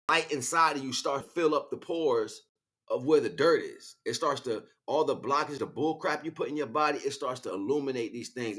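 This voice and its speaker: A man's voice: medium pitch, a bit bright. A man livestreaming a casual, rambling spiritual monologue in street slang, often checking in with rhetorical questions. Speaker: livestream host.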